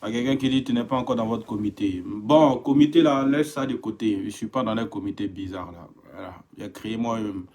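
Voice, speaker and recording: A man's voice: on the low side, a little fast, few pauses, darkish tone. A man from Africa, live-streaming from a home, greeting viewers by name and growing emphatic and impassioned. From West Africa. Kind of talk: casual live stream monologue. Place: home indoors.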